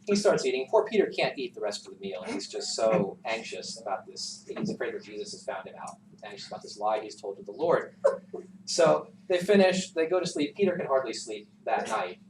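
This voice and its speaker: A man tells a folktale to a class with very varied, animated intonation. His delivery is informal and conversational, with asides. The recording is echoey and has clear background noise. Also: fairly low pitch, fairly quick, distant mic. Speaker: teacher telling a folktale to a class. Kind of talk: informal oral storytelling. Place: classroom, recorded from some distance.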